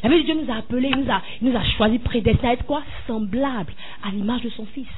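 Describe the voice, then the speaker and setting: A woman's voice: on the high side, fast talker, phone-quality sound, slight background noise. A woman preaching an impassioned sermon, tossing questions to the congregation and rising to cries.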